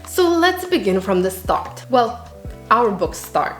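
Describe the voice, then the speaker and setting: High, animated woman in echoey room. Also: slow. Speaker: book reviewer, video host. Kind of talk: book review to viewers. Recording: roomy echo, faint music behind. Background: faint music.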